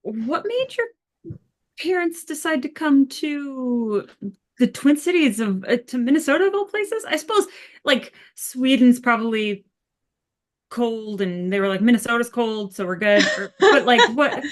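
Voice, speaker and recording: A woman's voice: a little high, animated, a little slow. A woman co-hosting a podcast, asking rambling questions in an enthusiastic, chatty way. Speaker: podcast co-host. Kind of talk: casual interview chat.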